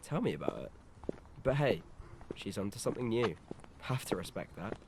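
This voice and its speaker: A laid-back teenage skater boy, played by a young man in an audio drama. His voice sits a little low. He talks in casual slang, like a mate chatting at school. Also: very animated, normal speed, vocal fry, background noise. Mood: casual, surprised. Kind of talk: scripted audio drama dialogue.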